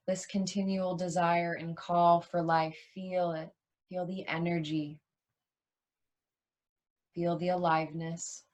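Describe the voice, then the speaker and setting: A woman leading a guided yoga nidra meditation as a teacher, soothing and unhurried, leaving long pauses between short phrases. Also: fairly low pitch, flat delivery, a little mellow.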